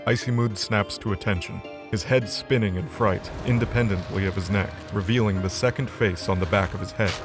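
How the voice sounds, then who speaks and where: Man narrating an audio drama, kinda low voice, loud music underneath. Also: brisk, few pauses.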